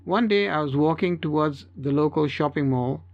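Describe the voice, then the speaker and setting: A man's voice: fairly high pitch, normal speed, highs cut off. A man reading a scripted first-person story as an audiobook narrator.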